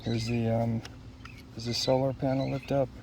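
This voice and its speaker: A man with a mid-pitched voice does a casual, unscripted unboxing narration. He talks haltingly, as if thinking out loud to himself, and clear background noise runs under his voice. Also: little pitch movement. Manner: halting, musing aloud to himself. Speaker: product unboxer.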